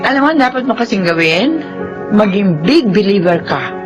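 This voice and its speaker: A woman's voice: mid-range voice, lively intonation, moderate pace, over music, close to an everyday voice. A woman playing a chatty, teasing newlywed saleswoman in a radio drama, playful and matchmaking with her friend. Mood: playfully encouraging.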